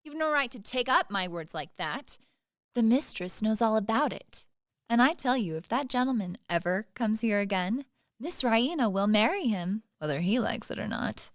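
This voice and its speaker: A woman's voice: on the high side, expressive, moderate pace, dry recording, clean studio sound, natural voice. A woman acting in a radio play as a proud, sly young maidservant, pert and needling under a show of deference. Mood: defensive.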